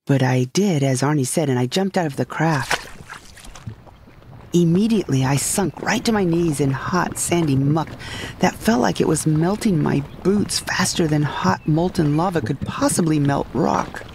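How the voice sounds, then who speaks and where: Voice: mid-range voice, measured pace, noisy background. A narrator telling a first-person sci-fi comedy story in a florid, dramatic, scripted storytelling style. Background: music behind the voice.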